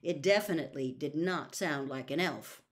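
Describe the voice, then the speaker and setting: A woman's voice: deep voice, normal speed, voice pushed down, close to an everyday voice. Woman voicing an earnest, direct teenage boy. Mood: insistent. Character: earnest, plain-spoken teenage boy wizard.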